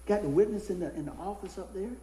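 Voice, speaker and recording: A middle-aged man, a pastor, preaching a casual sermon with a hoarse voice that he is losing, folksy and animated in his stories. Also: fast talker, reverberant, slight background noise. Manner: folksy, animated storytelling with rising pitch in anecdotes. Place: church.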